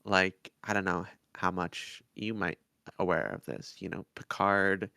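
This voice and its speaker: Slow, gravelly, halting man. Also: low-pitched, fairly even intonation, pushed and bright, dry recording, clean studio sound. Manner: halting, measured, speaks in short fragments. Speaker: podcast co-host. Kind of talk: casual review discussion.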